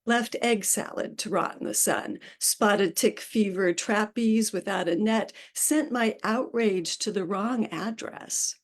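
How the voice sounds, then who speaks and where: Slow, measured woman reading poems. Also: medium pitch. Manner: slow, measured poetry delivery with hesitant asides. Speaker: poet reading her work. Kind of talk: live poetry reading.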